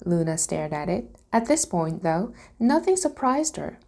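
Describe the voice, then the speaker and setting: A woman reading a storybook aloud as narration, in a careful, deliberate manner. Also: on the low side, big pitch swings, creaky, dark tone. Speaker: reader.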